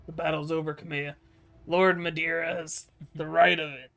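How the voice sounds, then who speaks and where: A man's voice: on the high side, flat delivery, normal speed, creaky, a bit bright. A man voicing a grave, loyal military adviser, reading game dialogue aloud plainly. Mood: grave, urgent.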